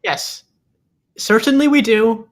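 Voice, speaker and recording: A man acts out a nervous fellow who stalls and bluffs his way along. His speech is halting and stammering, in the plain style of a script table read. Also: very high voice. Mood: nervous, stalling.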